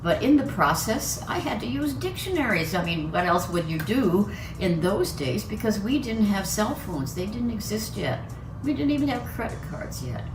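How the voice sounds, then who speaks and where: A woman's voice: medium pitch, sing-song, normal speed, roomy sound, distant mic, over music, rough recording. An older woman from the United States, giving a chatty, personal lecture at a symposium and laughing between her points. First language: English. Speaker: conference speaker. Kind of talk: lecture talk.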